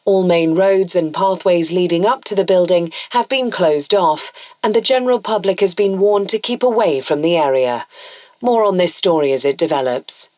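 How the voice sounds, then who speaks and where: An actress playing a composed news anchor, reading a bulletin in a formal, measured manner within an audio drama. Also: female voice, lowish voice, a little mellow, no room sound, close to an everyday voice. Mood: grave, composed. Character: composed female television or radio news anchor.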